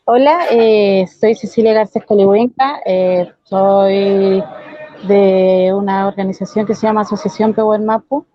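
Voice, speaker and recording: A woman's voice: mid-range voice, fairly even intonation, a little slow, no echo. A woman, giving a hesitant self-introduction on a video call. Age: 40s. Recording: dull video-call audio.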